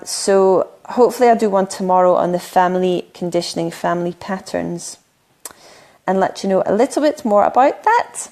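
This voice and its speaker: Uk woman coach on a live stream, bit croaky, rambles with lots of ums, middle pitch. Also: a little mellow. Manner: earnest, rambling, many "um"s and restarts. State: croaky from talking a lot. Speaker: spiritual coach. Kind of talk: solo live stream talk.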